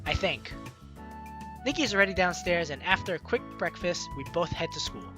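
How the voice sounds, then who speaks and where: A woman's voice: fairly low pitch, animated, a little fast, over music. A woman let's player reading a game's story aloud expressively and adding playful, casual commentary.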